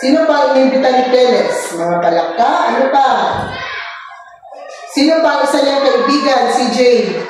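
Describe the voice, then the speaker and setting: A woman, an elementary teacher reading a story aloud and questioning children in an expressive, prompting way. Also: high-pitched, moderate pace. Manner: expressive, didactic, prompting listeners. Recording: echoey room, distant mic, faint noise.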